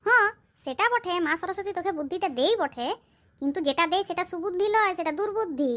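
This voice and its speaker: A performer voicing a sharp-tongued, teasing schoolchild in a comedy cartoon, with a mocking, colloquial way of talking. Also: very high-pitched, animated, fast talker, exaggerated voice.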